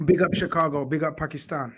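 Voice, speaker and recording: A man's voice: on the high side, fast talker, breathy edge. A man hosting a call-in show, chatting with callers in a friendly, encouraging way. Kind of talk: casual call-in chat.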